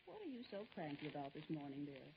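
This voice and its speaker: An actress playing a brisk, needling wife in an old-time radio drama, teasing and scolding in a matter-of-fact way. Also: female voice, low-pitched, wildly swooping pitch, full character voice. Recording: muffled, noisy old radio recording.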